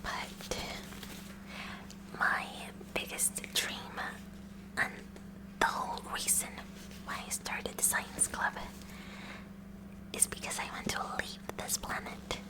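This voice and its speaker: A woman plays an eager, kooky teenage science club president in an ASMR roleplay. She speaks in a slow whisper straight to the listener. Her delivery is halting and nerdy, rambling on with earnest curiosity. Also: natural voice, low-quality recording.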